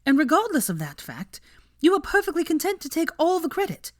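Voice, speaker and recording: An indignant, wounded young witch acted by a woman narrator in an audiobook. Her voice sits high and protests emphatically, defiant and hurt. The sound is close and dry. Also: huge pitch swings, brisk, no echo. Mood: outraged. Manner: outraged outbursts and emphatic protest.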